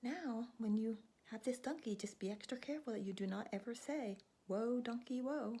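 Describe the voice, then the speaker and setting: A woman voicing a small, sly talking hoopoe bird that chirps its song in a high voice. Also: moderate pace, dark tone, husky. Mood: pleading. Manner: high chirping birdsong calls.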